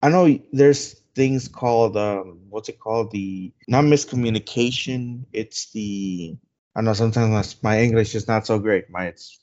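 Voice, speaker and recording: A man's voice: on the low side, a little slow, a little mellow. A man whose first language is Spanish, co-hosting a casual podcast and rambling with lots of fillers and restarts. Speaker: podcast co-host. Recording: dull lo-fi sound.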